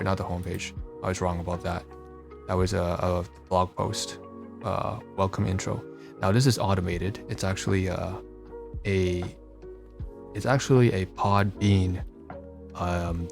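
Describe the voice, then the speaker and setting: A man's voice: sits low, creaky. A man hosting a casual, unscripted screen-share walkthrough, rambling along and often correcting himself. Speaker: podcast host demonstrating his websites.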